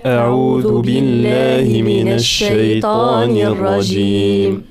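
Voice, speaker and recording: A woman student reciting verses back after her teacher in a slow melodic chant. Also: rumbling low, loud music behind the voice.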